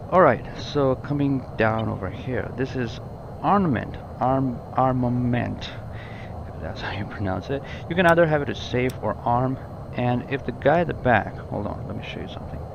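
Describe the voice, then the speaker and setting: A man with a mid-pitched voice talks through a flight simulator cockpit as a tutorial presenter. His delivery is informal and explanatory, often repeating himself to clarify. The sound is dull and lo-fi, with clear noise behind him. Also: normal speed.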